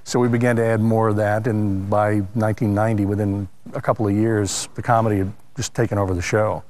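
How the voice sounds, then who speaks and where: Low-voiced man, dryly reminiscing. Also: level tone, fairly quick, darkish tone. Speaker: radio comedy troupe leader. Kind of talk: interview reminiscence.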